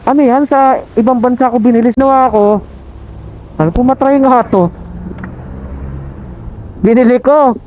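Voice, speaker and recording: A man's voice: very high voice, moderate pace, pauses often, a little mellow. A man chatting casually as a motorcycle vlogger over a helmet intercom. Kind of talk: casual chat. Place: outdoors on a moving motorcycle. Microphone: helmet intercom headset. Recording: muffled intercom audio with vehicle noise.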